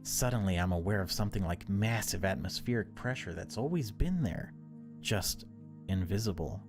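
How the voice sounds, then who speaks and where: A man's voice: on the low side, expressive, moderate pace. A man voicing the solemn, brooding protagonist of a horror visual novel. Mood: grim revulsion. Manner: solemn, dramatic reading. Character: brooding horror-novel narrator facing a loathed creature. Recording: music behind the voice.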